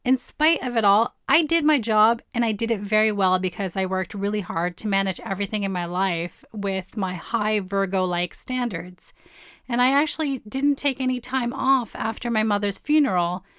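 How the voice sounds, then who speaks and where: A woman in her forties with a hoarse, partly lost voice, hosting a personal storytelling podcast in a candid, blunt way. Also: mid-range voice, normal speed, fairly bright tone, dry recording, studio quality. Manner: candid, blunt, swears casually. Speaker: podcast host.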